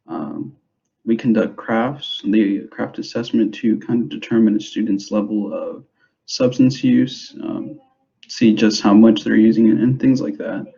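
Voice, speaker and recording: A young man who grew up in the United States, giving an informal presentation to parents in a hesitant, halting way, on a dull, muffled recording. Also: fairly low pitch, steady tone, vocal fry, dark tone. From grew up in the United States, born in El Salvador. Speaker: school substance abuse prevention specialist.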